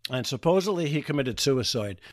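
A man's voice: medium pitch, expressive, fairly quick, dry recording. A man hosts a podcast in a loose, joking, rambling way, swearing freely. His voice sits mid-range. The sound is dry and close. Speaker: podcast host. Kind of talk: casual podcast chat.

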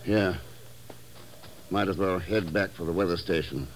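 An actor playing a cool, commanding secret agent with a British accent, clipped and dry, in 1950s radio drama style. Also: male voice, deep voice, fairly even intonation, unhurried, slight background noise.

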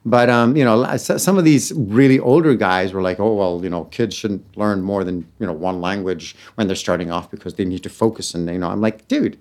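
A man speaking English as his first language, chatting casually as an interview guest, with loose "you know" fillers and quick acted-out quotes. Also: lowish voice, a little mellow. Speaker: guest, TV personality.

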